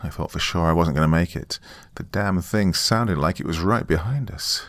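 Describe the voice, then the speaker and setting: A man giving a dramatic, scripted first-person horror narration, suspenseful and deliberate, with pauses for effect. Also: low voice, a bit bright, no room sound.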